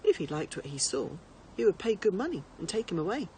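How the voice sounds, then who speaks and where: A woman reading a children's storybook aloud in an expressive narrating style. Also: medium pitch, a little fast, a little hiss or hum, rough recording. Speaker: teacher reading to pupils.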